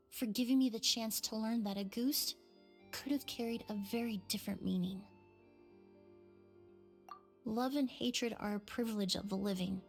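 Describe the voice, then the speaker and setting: A woman playing a gentle, thoughtful young perfumer in a video game, measured and earnest. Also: mid-range voice, gravelly. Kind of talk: video game dialogue acting. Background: music.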